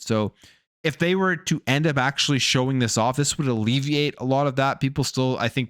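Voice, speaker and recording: Man, medium pitch, video game news host, casual commentary, close dry recording. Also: clean tone, no room sound. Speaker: gaming news YouTube host.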